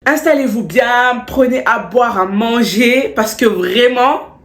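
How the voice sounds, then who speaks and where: A woman's voice: on the high side, slow pace, soft background music. Young woman vlogger with a cold, echoey room. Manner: animated, slangy, repeats words for emphasis. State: stuffy, still has a cold. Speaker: beauty vlogger. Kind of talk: chatty storytime vlog with advice.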